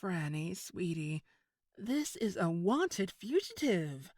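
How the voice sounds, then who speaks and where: A woman's voice: on the low side, big pitch swings, takes it slowly, a little mellow, no room sound, studio quality, exaggerated voice. A woman acting a sardonic, menacing enforcer in an audio drama. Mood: cool threat. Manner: smug, sarcastic, threatening.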